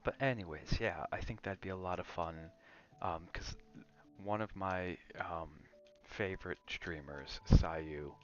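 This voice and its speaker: Deep-voiced man streaming, slow and low. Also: highs cut off. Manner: rambling, drifting between chat and game reactions. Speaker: game streamer. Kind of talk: casual ASMR gaming livestream chat.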